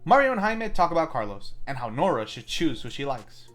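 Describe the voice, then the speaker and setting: A man's voice: on the high side, sing-song, fairly quick, a bit bright, soft background music. A man hosting a film review, reading a scripted plot recap and adding wry commentary of his own. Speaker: film review show host.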